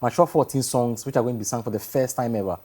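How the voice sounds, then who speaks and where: Fast-talking mellow Ghanaian man. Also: medium pitch. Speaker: interview guest, playwright. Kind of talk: casual interview answers.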